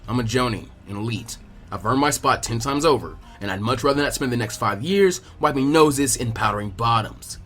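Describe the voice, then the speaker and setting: A man puts on the voice of a gruff veteran ninja teacher in an anime fan story. He speaks low, with a dry, unhurried and dismissive manner, as if effort bores him. Music plays underneath. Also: lively intonation, a bit bright. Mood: blunt. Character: gruff, lazy adult male elite ninja instructor. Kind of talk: acted fan fiction reading.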